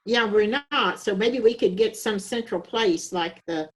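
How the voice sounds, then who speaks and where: An older woman with an average-pitched voice speaks up in a group video call. Her way of talking is casual and plainspoken. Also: measured pace. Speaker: senior living resident. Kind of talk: casual chat.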